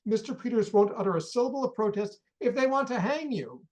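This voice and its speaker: A woman's voice: a little high, animated, brisk, a little mellow, lightly acted. A woman acting a blunt, shrewd and commanding rich employer in a radio play. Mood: stern, warning.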